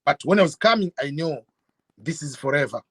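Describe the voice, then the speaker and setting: A middle-aged man from Tanzania, a guest telling his story in an interview in an earnest, measured way. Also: fairly high pitch, lively intonation, slightly rough, no room sound. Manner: earnest, measured, with fillers and restarts. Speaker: guest YouTuber.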